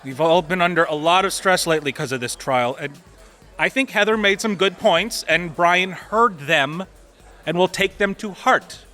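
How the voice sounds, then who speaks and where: Casting: placating male attorney, audio drama. High, forward, bright voice, upbeat and courteous. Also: normal speed. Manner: polite, conciliatory, upbeat. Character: affable male lawyer colleague, placating peacemaker. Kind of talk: audio theatre dialogue acting.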